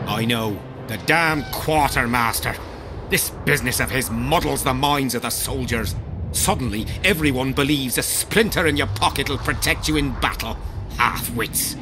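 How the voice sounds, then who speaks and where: A man's voice: mid-range voice, normal speed, noisy background, close to an everyday voice. Man playing a blunt, battle-hardened medieval soldier. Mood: scornful, stern. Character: rough, battle-hardened medieval army veteran, proud and blunt. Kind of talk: video game dialogue acting.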